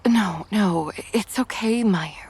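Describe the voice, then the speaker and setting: A woman playing a gentle, affectionate bride and mother in a motion comic, speaking in a soothing, tender way. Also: medium pitch, takes it slowly, background noise. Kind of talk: scripted cartoon dialogue.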